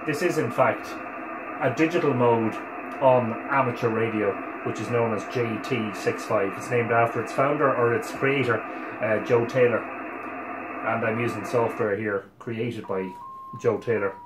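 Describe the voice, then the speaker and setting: A man in his forties with an Irish accent casually explains a demonstration, thinking aloud as he works in a relaxed way. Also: medium pitch, a little slow, echoey room, heavy background noise. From Ireland. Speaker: amateur radio operator presenting. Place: small home radio room, indoors. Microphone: camera a couple of metres away.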